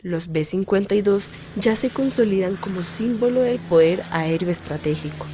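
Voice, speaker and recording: A woman's voice: medium pitch, moderate pace, a little mellow, slight background noise, lightly acted. A woman playing a radio news anchor delivering a formal, serious scripted war bulletin.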